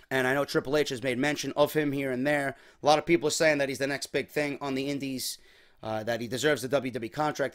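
A young man, his voice slightly worn, hosting a casual wrestling talk show from a small home room on a desk microphone, energetic and chatty. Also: medium pitch. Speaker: podcast host. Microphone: desk mic on boom arm.

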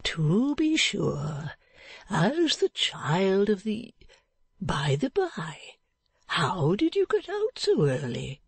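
Kindly old church man, done by a female narrator, stout, chatty, very slow, swooping intonation, storybook character. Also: mid-range voice, huge pitch swings, gravelly, husky, dry recording, voice pushed up, heavily acted. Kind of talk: dramatized audiobook reading of a classic novel.

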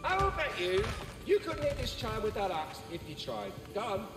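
A man's voice: high-pitched, huge pitch swings, normal speed. A man voicing a brash, irritable, taunting spectral squirrel in a video game, cocky and nagging. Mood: taunting, impatient. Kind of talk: video game character acting. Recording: echoey, distant, long reverb, music behind.

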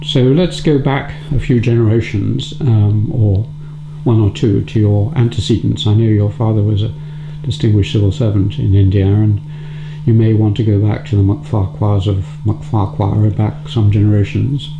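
A man from Scotland interviewing a guest, asking unhurried, courteous questions from off camera. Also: lowish voice, little pitch movement, gravelly. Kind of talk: oral history interview questions. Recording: roomy, dull, noisy off-camera audio.

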